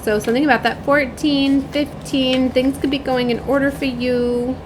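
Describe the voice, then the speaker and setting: A woman with a fairly high voice gives a spiritual tarot and oracle reading. She shifts between reading aloud and casual, rambling commentary. The recording has clear background noise. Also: little pitch movement, normal speed. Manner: rambling, informal asides. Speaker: tarot and oracle card reader. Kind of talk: spiritual card reading, part read aloud, part casual chat.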